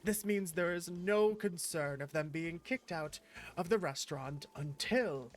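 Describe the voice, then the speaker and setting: A woman voicing a gentle, jolly male tea-shop keeper from a video game, earnest and slightly formal. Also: fairly low pitch, a little slow, soft background music, natural voice. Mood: jovial, eager. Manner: slightly formal, quaint phrasing. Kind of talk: reading game dialogue in character.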